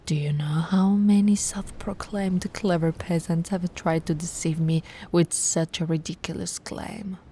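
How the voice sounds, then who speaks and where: Woman playing a slow, haughty vampire noblewoman. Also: on the low side, steady tone, warm tone, slight background noise. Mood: scornful, threatening. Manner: imperious, condescending, theatrical, often dropping to a breathy whisper. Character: haughty, possessive female immortal vampire aristocrat. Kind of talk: ASMR roleplay acting.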